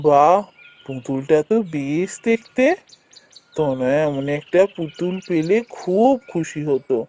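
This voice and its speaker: An actor playing a poor, kindly woodcutter father in a cartoon, speaking in a gentle, plaintive, musing way. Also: medium pitch, lightly acted. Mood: surprised, hopeful. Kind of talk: cartoon voice acting.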